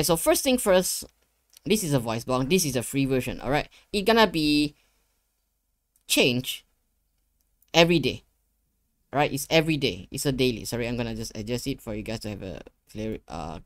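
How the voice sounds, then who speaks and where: A man's voice: medium pitch, dry recording. Casual young man giving a tutorial, dry sound. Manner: informal, repeats phrases, addresses viewers. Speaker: tutorial host. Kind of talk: casual screen-share tutorial. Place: indoor gaming room at a desk.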